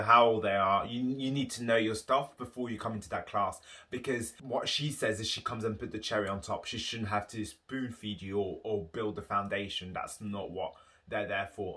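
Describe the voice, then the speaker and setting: A man's voice: on the low side, sharp tone. A young man with a London accent, chatting casually to camera as a video host, enthusiastic and explaining things in long run-on stretches. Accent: London English. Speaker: YouTube host.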